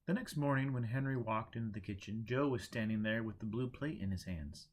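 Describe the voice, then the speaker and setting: A man's voice: fairly low pitch, a little fast. A man reading a story aloud in a scripted narration style, as an audiobook reader.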